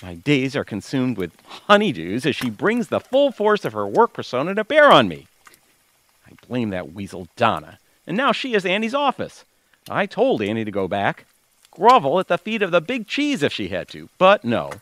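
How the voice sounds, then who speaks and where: A man's voice: medium pitch, wildly swooping pitch, moderate pace, fairly bright tone. A man playing a grumbling, self-pitying retired husband in an audio theater comedy, theatrical and mock-tragic. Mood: aggrieved.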